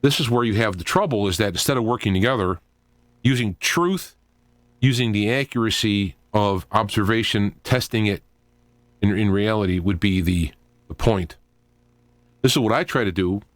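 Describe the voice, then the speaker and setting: A middle-aged man with a fairly low voice hosts a talk radio show on his own. He speaks informally and haltingly, drifting between thoughts as he works them out aloud. The sound is close and dry, with little room to it. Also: no echo. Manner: halting, digressive, thinking aloud.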